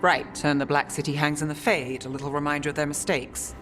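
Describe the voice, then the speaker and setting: Shrewd investigator: deep, direct, matter-of-fact game dialogue. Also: female voice, fairly even intonation, a little fast, a bit bright, faint music behind. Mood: dry, observant.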